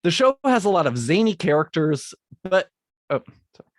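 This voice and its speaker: A man's voice: high voice, very animated, normal speed, dry recording, studio quality. A man, a guest composer, reading scripted lines for a podcast and stopping to redo them. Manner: reads scripted lines, then breaks off to restart them. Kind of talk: recording a podcast segment with retakes.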